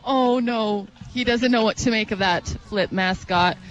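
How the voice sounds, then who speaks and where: A woman's voice: on the high side, a little slow, highs cut off. A woman with a Canadian accent, giving chatty, exclaiming live parade commentary as a radio co-host. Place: outdoors at a street parade.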